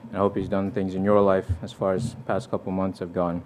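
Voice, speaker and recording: A man's voice: low voice, level tone, brisk, darkish tone, a little hiss or hum. Earnest young man preaching a testimony. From Fiji. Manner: earnest, conversational, with restarts and quoted scripture. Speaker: visiting preacher. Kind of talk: sermon with personal testimony. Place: church.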